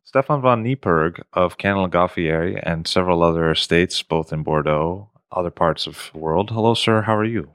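A man hosting an interview in a measured, formal way. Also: sits low, a bit bright, clear, resonant tone. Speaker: interview host.

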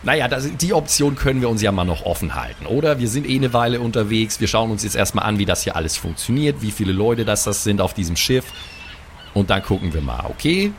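A man's voice: fairly low pitch, very animated, quick pace, slight background noise. A man improvising his character in a tabletop role-playing game, talking in an enthusiastic, teasing way.

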